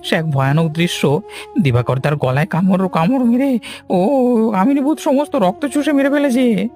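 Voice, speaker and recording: A man's voice: high-pitched, moderate pace, darkish tone, lightly acted. Panicky, stammering village man, acted out by a man. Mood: fearful confessing. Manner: stammering, pleading, whining. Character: panicky guilt-ridden village man begging for his life. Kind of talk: Bengali horror cartoon dubbing. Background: music.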